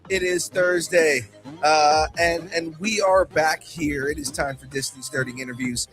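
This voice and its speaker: A young man hosting a casual podcast, excitable and swearing freely, with a light cold and a slight cough. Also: a little high, measured pace, soft background music. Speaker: podcast host.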